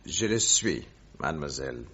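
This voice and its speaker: An actor playing a suave, self-assured detective posing as a royal secretary in a French radio drama, husky, formal and courteous. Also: male voice, rumbling low, sing-song, moderate pace, pushed and bright, exaggerated voice. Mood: smug, confident. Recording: dull lo-fi radio recording.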